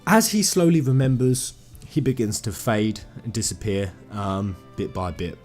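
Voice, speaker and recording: A man's voice: on the low side, normal speed, a little mellow, faint music behind. A man giving an informal explainer commentary on video game lore, talking conversationally and emphatically to his viewers. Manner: conversational, addresses viewers as guys, emphatic phrasing.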